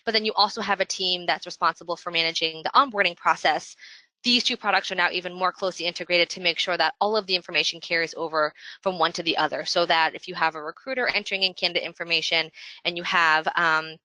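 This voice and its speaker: Woman, software webinar presenter, bright forceful voice, slightly low pitch, businesslike explanatory delivery. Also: fairly even intonation, fast, no echo, poor audio.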